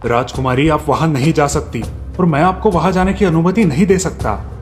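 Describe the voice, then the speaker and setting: Highish-voiced protective king, voiced by a male narrator. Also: normal speed, in a large hall, faint music behind, close to an everyday voice. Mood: forbidding, protective. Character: cautious, protective king and father. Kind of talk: storybook dialogue.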